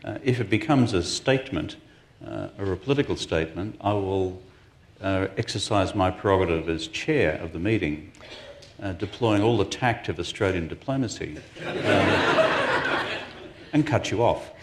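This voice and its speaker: A man's voice: low-pitched, moderate pace, slight background noise. A man moderating a panel discussion, framing questions and managing the audience with wry, dry humor.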